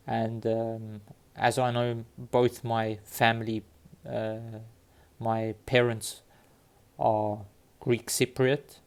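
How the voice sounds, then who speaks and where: A man from Cyprus talking casually to his viewers, halting often with fillers and restarts. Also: mid-range voice, flat delivery, smooth. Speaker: vlogger sharing DNA results. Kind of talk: casual talk to camera. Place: London.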